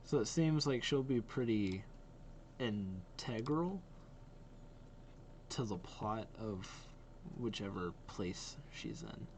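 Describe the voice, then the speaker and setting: Young man with lively, varied intonation. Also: fairly low pitch, slow, pauses often, slight background noise. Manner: enthusiastic, repetitive praise. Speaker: reaction video host. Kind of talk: casual reaction commentary. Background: faint music.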